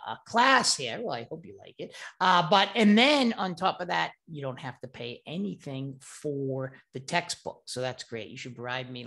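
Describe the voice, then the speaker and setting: A man's voice: on the high side, bright, forceful tone. A middle-aged man with a Boston accent, a university professor giving an enthusiastic, rambling introduction to his course. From Boston, USA. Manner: enthusiastic, chatty and digressive, wandering off-topic. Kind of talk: casual course introduction video.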